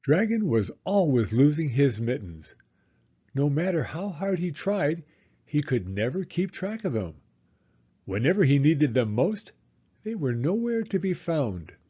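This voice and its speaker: A man reading a storybook aloud to young children in a deliberate, expressive storytelling way. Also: a little high, husky, lo-fi sound.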